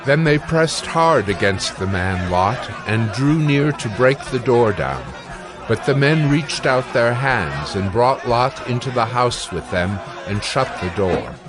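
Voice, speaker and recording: A man's voice: medium pitch, animated, slow, crowd noise. A man narrating scripture as a scripted dramatized reading.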